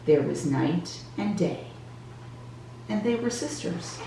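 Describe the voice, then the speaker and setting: Gentle wise star, slow and echoey woman acting. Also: on the low side, lively intonation, creaky, full character voice. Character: gentle, wise star telling an old legend. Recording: roomy echo, distant mic, faint noise.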